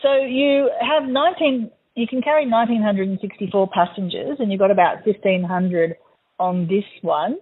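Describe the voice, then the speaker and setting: A woman hosting a travel radio show, asking an interviewee questions in a friendly, measured way. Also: mid-range voice, phone-quality sound, slight background noise.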